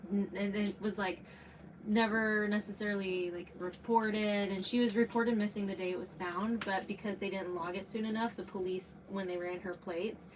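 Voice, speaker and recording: A woman's voice: mid-range voice, fairly even intonation, moderate pace, distant mic, noisy background. A woman chatting as a guest, telling a story in a rambling way with lots of fillers. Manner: rambling, many "like"s. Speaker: guest, true crime video creator. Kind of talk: casual chat, storytelling.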